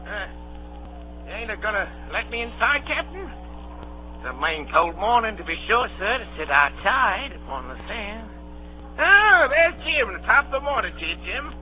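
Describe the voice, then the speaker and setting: An actor playing a sly, menacing pirate leader in an old radio drama, with folksy, oily rustic speech. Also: male voice, high-pitched, very animated, moderate pace, faint music behind. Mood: wheedling, genial. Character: sly, menacing male pirate leader, wheedling then threatening. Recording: dull old radio recording with mains hum.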